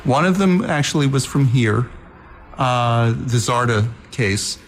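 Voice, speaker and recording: A man's voice: medium pitch, expressive, slow, a little hiss or hum, poor audio. A man hosting a talk show, recounting news in a chatty way with asides. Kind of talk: casual talk show conversation.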